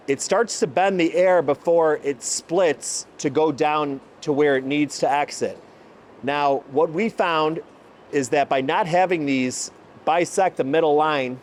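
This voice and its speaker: A man's voice: on the high side, slight background noise. A man hosting an automotive video, presenting and explaining in an emphatic, enthusiastic way. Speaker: host, exhaust shop builder. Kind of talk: presenting car exhaust comparison, part scripted, part explanatory.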